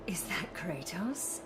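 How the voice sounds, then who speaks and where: An actress playing a seductive, awed woman beckoning a champion in a video game, languid and breathy. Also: a little high, heavily acted. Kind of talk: dramatic video game cutscene acting. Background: loud music.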